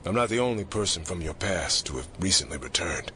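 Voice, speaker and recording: A man's voice: deep voice, lively intonation, fairly quick, bright, forceful tone, a little hiss or hum. A man playing a bitter, secretive government informant in an audio drama, guarded, curt and ominous. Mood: ominous warning.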